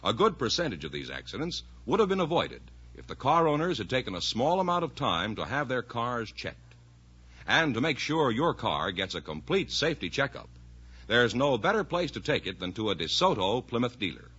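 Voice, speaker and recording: A man's voice: mid-range voice, sing-song, moderate pace, sharp tone, husky. A man announcing on a 1950s radio show and delivering sponsor messages. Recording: dull, muffled vintage radio recording.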